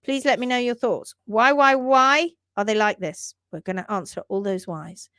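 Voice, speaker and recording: A woman hosting a live stream on hoof care, talking quickly and repeating herself, laughing often as she reads out viewer posts. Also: on the high side, bright, forceful tone, no room sound, clean studio sound. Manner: rapid-fire, repeats words, chatty. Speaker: livestream host, hoof care teacher. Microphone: livestream setup.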